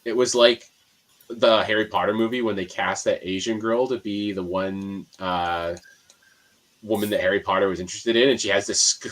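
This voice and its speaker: Low-voiced Canadian man chatting. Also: fairly even intonation, normal speed, a bit bright. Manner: joking, chuckles at his own remarks. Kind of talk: casual chat.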